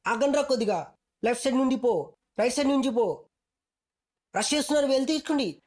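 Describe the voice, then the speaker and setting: A woman's voice: sits high, animated, fast talker, a little mellow. A woman with a Telugu accent delivering short punchy game voice-pack callouts in a slangy, bossy, teasing way.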